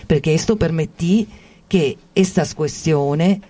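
Slow-talking Italian man, halting Spanish. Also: a little high, dark tone. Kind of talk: discussion about psychiatry.

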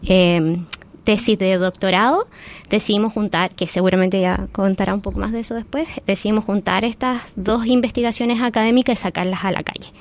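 A Chilean woman talks as a guest on a podcast. She speaks in a hesitant, chatty way and fills her pauses often. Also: mid-range voice, fairly even intonation. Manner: hesitant, conversational, many fillers. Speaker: guest, researcher. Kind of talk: podcast interview.

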